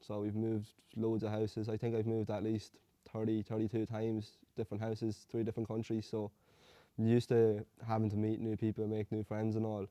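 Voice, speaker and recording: A young man from Hungary, an amateur fighter telling his story in a relaxed, rambling way. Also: on the low side, normal speed, dry recording. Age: young adult. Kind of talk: casual interview answers.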